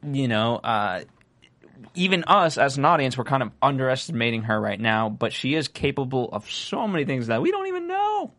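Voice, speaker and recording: Animated man with a raspy voice. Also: mid-range voice, moderate pace. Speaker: show host. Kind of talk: casual TV recap chat.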